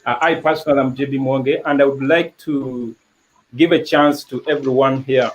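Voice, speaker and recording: A man's voice: mid-range voice, fairly even intonation, moderate pace. A man hosting an online political panel, introducing guests formally as comrades. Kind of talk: moderating a panel discussion.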